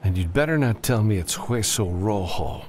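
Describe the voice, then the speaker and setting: A man voicing a gruff, wary freelance spaceship captain who speaks bluntly and sternly in an audiobook. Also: fairly low pitch, animated, normal speed, darkish tone, a little hiss or hum, pitched above the performer's own voice, natural voice. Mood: stern frustration.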